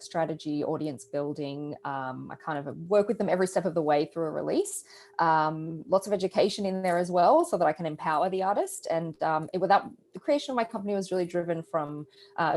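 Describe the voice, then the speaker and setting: Give me a relaxed, chatty Australian woman introducing herself on a video panel. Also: sits low. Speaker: music marketing panelist. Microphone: video call.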